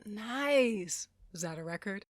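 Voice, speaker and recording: An actress as a dry, slow-talking tough sister. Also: female voice, medium pitch, lively intonation, no echo. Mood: wry, brusque. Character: blunt, wry, tough sister of a Sith.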